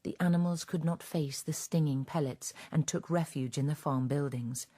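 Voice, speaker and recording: A woman narrating a radio drama in a measured, scripted storytelling manner. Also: low-pitched, dark tone, slightly rough.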